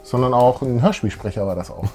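A man in his thirties co-hosts a fan show in casual, colloquial speech. His voice is medium in pitch, and he talks with eager enthusiasm. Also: quick pace, darkish tone, soft background music. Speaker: co-host of a retro fan show. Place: small indoor room full of collectibles.

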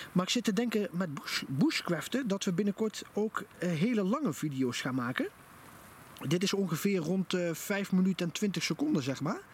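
A man giving enthusiastic, casual vlog commentary outdoors, recorded on a handheld camera. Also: sits high. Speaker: vlogger. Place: outdoors in a forest at night by a campfire. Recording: noisy outdoor camera audio.

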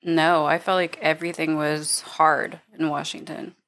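A woman in her thirties, a podcast guest, talking in a relaxed, thoughtful, slightly hesitant way. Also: low voice, unhurried. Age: 30s. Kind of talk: casual chat.

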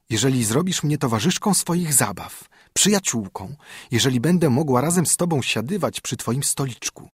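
A man voicing a persistent female frog who speaks slowly and coaxingly in a fairy tale. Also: medium pitch, breathy edge, dry recording, studio quality. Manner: slow, drawn-out, coaxing.

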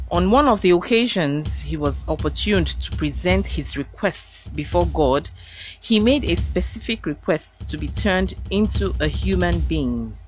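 A Nigerian-accented woman narrating a folktale in a deliberate, emphatic storytelling manner. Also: on the low side, rough recording. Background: background music.

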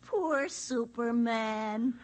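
A woman's voice: fairly high pitch, very slow. An actress in a 1950s radio drama playing a flippant, languid young woman. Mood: amused, mocking.

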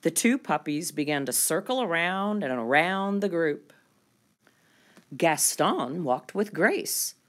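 A woman with a fairly low voice reads a children's picture book aloud. Her delivery is very slow and deliberate, with animated rises and falls. She draws out key words expressively for young listeners.

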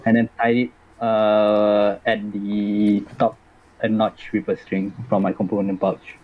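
A man player slowly describing his character's actions step by step. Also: on the low side, little pitch movement, phone-quality sound, a little hiss or hum.

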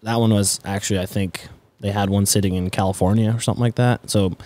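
Low-voiced rambling young Dutch-born man. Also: steady tone, fast, warm tone. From Netherlands. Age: 20s. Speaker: podcast guest, farmer. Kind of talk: casual conversational storytelling. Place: indoors, garage-like studio. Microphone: close podcast mic on boom arm.

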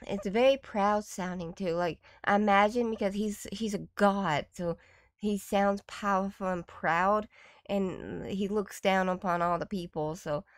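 A woman's voice: medium pitch, unhurried, dry recording, clean studio sound. A woman giving casual reaction commentary as a video host, in an appreciative, easily impressed way.

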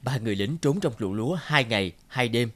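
A man reading a cartoon fairy tale aloud as its narrator, in a steady storybook manner, with music playing under his voice. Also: mid-range voice, a little fast. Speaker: narrator voicing all characters. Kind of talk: cartoon storytelling, one reader doing all voices.

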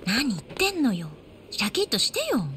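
An actress playing a cool, poised young woman in a Japanese game dub, brisk and self-assured. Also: a little high, sing-song, a bit bright, a little hiss or hum. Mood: scolding.

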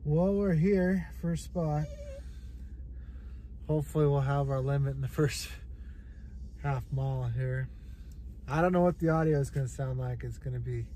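A man in his thirties talking casually in a self-filmed hunting vlog, recorded on a head-mounted camera in a truck and out in the field. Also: a little high. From South Dakota. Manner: plain-spoken, easygoing, rural talk. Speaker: hunting vlogger. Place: inside a pickup truck, then outdoors on windy snowy prairie. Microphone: head-mounted action camera. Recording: loud wind buffeting the mic. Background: strong wind noise.

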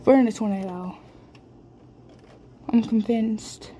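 A woman's voice: a little high, slow, warm tone. A scared, shaky woman giving breathless, exclaiming commentary into a handheld phone. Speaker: amateur storm videographer. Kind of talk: excited running commentary on a phone video. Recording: noisy amateur phone recording, storm ambience.

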